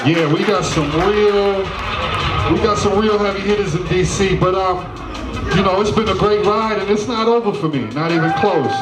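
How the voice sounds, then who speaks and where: A man's voice: on the high side, echoey room. A man from Trinidad giving a loose, grateful acceptance speech as a DJ honoree, full of fillers.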